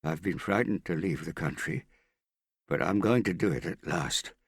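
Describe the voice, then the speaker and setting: A man's voice: low voice, lively intonation, normal speed, husky, no room sound, clean studio sound, full character voice. A man voicing a paranoid, frightened, hard-drinking man in hiding, agitated and pleading, in an audiobook. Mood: secretive, frightened. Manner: agitated, suspicious, pleading, prone to shouting. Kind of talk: audiobook character acting.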